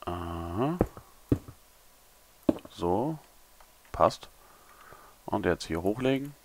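A young man, a little hungover and hoarse, mumbling and thinking aloud as he builds in a game tutorial. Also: low voice, lively intonation, very slow, noisy background. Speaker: gaming tutorial creator. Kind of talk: casual commentary while building.

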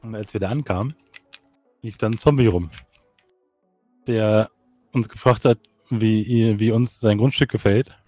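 A man talks casually as a fellow player in an online roleplay game. His voice is lowish and dark, recorded dry and close, telling things in an everyday conversational style. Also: measured pace, long pauses, no room sound, soft background music. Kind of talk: casual in-character game chat.

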